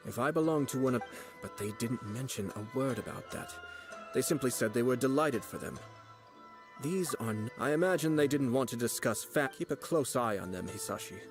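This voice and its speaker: An actor playing a calm, refined young nobleman in a video game, speaking in a measured, formal way. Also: medium pitch, music in the background, close to an everyday voice. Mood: composed.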